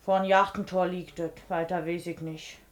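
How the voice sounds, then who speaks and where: A woman's voice: fairly low pitch, level tone, normal speed, lightly acted. An actress as a stubborn girl in an echoey room. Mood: sulky. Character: sulky, stubborn working-class teenage daughter. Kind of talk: naturalistic dialect radio play.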